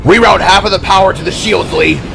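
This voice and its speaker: Guy doing an urgent pilot barking orders, pitched way up, music behind, audio drama. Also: wildly swooping pitch, a little fast, creaky, exaggerated voice.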